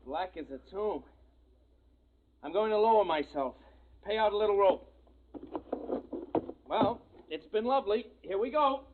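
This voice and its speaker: A man's voice: high voice, lively intonation, a little slow, long pauses. A man playing a plucky, deferential survey engineer in a 1950s radio drama. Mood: nervy bravado. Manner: deferential, plainspoken. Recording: muffled, noisy old radio recording.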